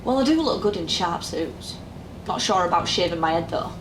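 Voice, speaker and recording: A woman's voice: lowish voice, expressive, moderate pace, noisy background, lightly acted. A young woman playing a jaded, sarcastic call-centre worker in her twenties in an audio drama. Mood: wry. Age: young adult. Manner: informal, dry sarcasm. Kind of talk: naturalistic audio drama acting. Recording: roomy, music underneath.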